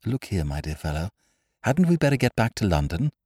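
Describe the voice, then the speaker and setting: A male reader plays an earnest English gentleman in a storybook mystery. He is politely blunt and impatient, with a very lively, swooping pitch. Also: a little fast, no room sound, studio quality. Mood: awkward.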